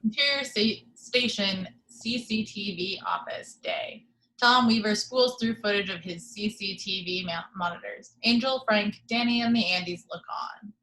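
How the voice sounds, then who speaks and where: A woman reads stage directions at a table read in a mid-pitched voice. Her delivery is even and measured. She is heard over a video call. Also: a little hiss or hum, poor audio. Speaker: narrator reading stage directions. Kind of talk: reading action description.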